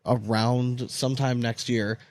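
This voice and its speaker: A man's voice: medium pitch, little pitch movement, measured pace, darkish tone, no echo. A man co-hosting a casual sports podcast, chatting in an informal, jokey, slangy way. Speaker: podcast co-host.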